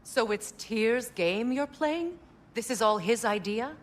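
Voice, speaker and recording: A woman's voice: on the high side, animated, slow pace. A woman playing a concerned, sceptical ally in a video game, direct and questioning. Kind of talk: dramatic video game acting.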